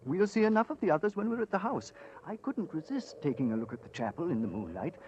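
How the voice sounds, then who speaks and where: An actor playing an eager, courtly investigator of the occult, speaking in a theatrical, emphatic and genteel manner in a 1940s-style radio drama. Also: male voice, on the high side, animated, quick pace, darkish tone, a little hiss or hum, close to an everyday voice. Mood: keen, curious. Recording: dull, dry old radio recording.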